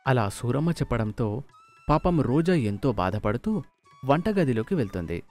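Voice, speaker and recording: A man's voice: mid-range voice, very animated, moderate pace, a little mellow. Guy narrating a cartoon moral story, really sing-song up n down, music behind. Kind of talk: scripted moral story narration with acted dialogue.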